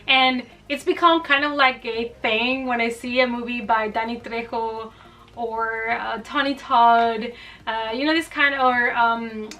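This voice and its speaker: A woman with a high, very bright voice chats informally in a casual haul vlog. She sounds enthusiastic and conversational, like a reviewer showing viewers her finds. The microphone picks her up from a little way off. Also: steady tone, unhurried, far from the mic. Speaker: horror movie review vlogger.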